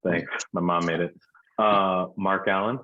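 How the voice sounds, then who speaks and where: A man chairing a municipal arts commission meeting, running roll call in a relaxed, informal way with plenty of fillers. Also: low-pitched, a little slow, no echo. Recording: dry tinny phone-like line.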